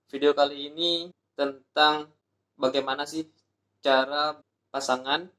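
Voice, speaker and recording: A man from Indonesia explaining an application process step by step in a vlog, spelling out addresses slowly. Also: medium pitch, fairly bright tone, reverberant, poor audio. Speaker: vlogger.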